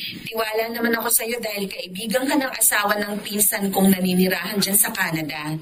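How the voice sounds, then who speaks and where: An actress playing a brisk, teasing but obliging woman friend in a radio drama, frank and mildly exasperated. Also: mid-range voice, noisy background, close to an everyday voice. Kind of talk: Tagalog radio drama acting.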